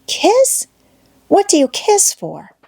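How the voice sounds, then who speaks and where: A woman's voice: very high voice, wildly swooping pitch, slow pace, creaky, warm tone, voice pushed up, exaggerated voice. A woman voicing a shy, sweet, hesitant little schoolgirl in an audiobook, timid and childlike. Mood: curious. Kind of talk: audiobook character voice.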